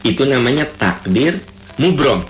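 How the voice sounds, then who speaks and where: A man from Bandung with a Sundanese accent, preaching a lively radio sermon that repeats key terms and recites Arabic verses. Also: mid-range voice, measured pace, soft background music. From Bandung, Indonesia. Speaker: Islamic preacher. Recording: roomy radio broadcast with faint ambience.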